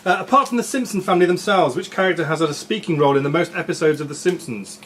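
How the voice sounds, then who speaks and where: A man's voice: on the high side, rapid-fire, background noise. A man reading out quiz questions as quizmaster and ruling on the answers. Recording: music playing behind the voice. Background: music.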